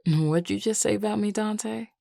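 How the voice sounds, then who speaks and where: A woman playing a flirty, teasing male werewolf with a Southern US accent and a playful, unhurried drawl in an audio roleplay. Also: mid-range voice, vocal fry, mellow, no echo, clean studio sound, voice pushed up, lightly acted. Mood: sincere, flirty.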